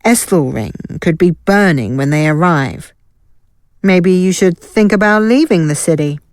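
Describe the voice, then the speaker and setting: A woman's voice: fairly low pitch, animated, measured pace, darkish tone, no room sound, clean studio sound. A woman playing an assured, wry guild fighter in a fantasy audio drama. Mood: resolute. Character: Ilaeda, confident wry female guild fighter. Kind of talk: scripted audio drama acting.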